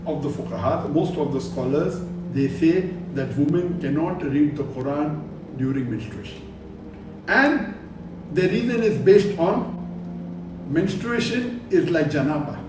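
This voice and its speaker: High-pitched man lecturing emphatically. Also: background noise. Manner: emphatic, deliberate, repeats phrases in short bursts. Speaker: Islamic preacher answering a religious question. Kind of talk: religious lecture to camera. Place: indoor studio set.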